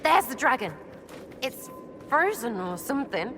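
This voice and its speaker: A woman's voice: sits high, sing-song, a little slow, background noise. A woman playing a cheeky, irreverent young rogue archer in a fantasy video game, flippant and slangy in clipped phrases. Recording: clear music behind.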